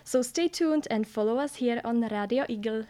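A woman's voice: fairly high pitch, normal speed, warm tone. A woman in her twenties from the Czech Republic, hosting a student podcast in an upbeat, announcer-like way. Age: 20s. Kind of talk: scripted podcast introduction.

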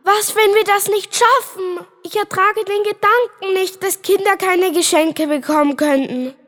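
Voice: extremely high pitch, a little slow, fairly bright tone. A child acting an anxious, fussy schoolboy in a school radio play. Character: anxious, fussy schoolboy Max, easily overwhelmed.

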